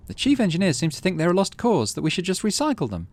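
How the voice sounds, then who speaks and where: A man voicing a friendly female AI assistant engineer in a visual novel game, chatty, polite and expressive. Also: high-pitched, fast, voice pushed up, full character voice. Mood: wistful.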